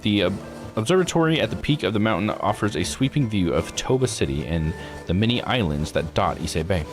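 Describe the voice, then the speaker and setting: Male streamer, animated intonation, reads game text and comments, trips on names, music underneath. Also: lowish voice, brisk. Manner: stumbles over unfamiliar names, muttered asides. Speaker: streamer playing a visual novel. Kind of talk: live read-aloud commentary of a game.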